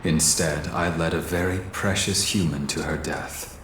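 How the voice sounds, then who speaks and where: Brief: a dutiful created being, low and precise, echoey sound. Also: male voice, steady tone, unhurried, a bit bright, slight background noise. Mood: earnest. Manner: formal, precise, slightly stiff. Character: artificial male being, earnest and dutiful. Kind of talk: game cutscene voice acting.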